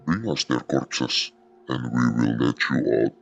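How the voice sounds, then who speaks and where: Menacing, abyssally deep hotel spirit. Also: male voice, gravelly, heavily acted. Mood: menacing bargaining. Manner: slow, commanding. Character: menacing ghostly hotel spirit urging murder, male. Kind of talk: readers theater horror drama. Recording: muffled, dull audio under music.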